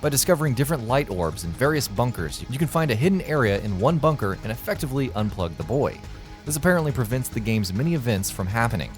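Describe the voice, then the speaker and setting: A man's voice: mid-range voice, sing-song, fast talker, soft background music. A man reading scripted countdown narration in a wry, conversational way. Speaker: video narrator.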